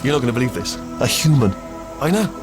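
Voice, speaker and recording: An actor with an English West Country accent playing a chatty, bumbling, panicky robot sidekick in a game, rambling and stammering. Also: male voice, mid-range voice, sing-song, quick pace, music in the background, lightly acted. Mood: gossipy. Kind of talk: comedic improvised-sounding game acting.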